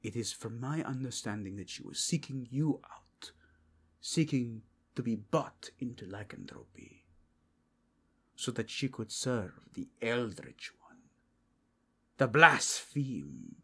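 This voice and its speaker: A man performs a devout inquisitor in a fantasy roleplay, grave and courteous. His phrasing is formal and archaic, delivered slowly in a husky voice with sweeping rises and drops. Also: mid-range voice, lively intonation, sharp tone, exaggerated voice. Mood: righteous loathing. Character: zealous male inquisitor, solemn, courteous, devoutly faithful.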